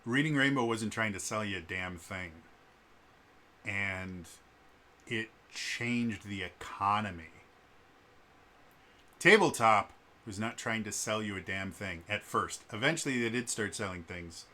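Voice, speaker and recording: A man's voice: fairly low pitch, a little slow, long pauses, sharp tone. A man with a stutter vlogging unscripted, earnest, with many fillers and restarts. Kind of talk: unscripted vlog monologue.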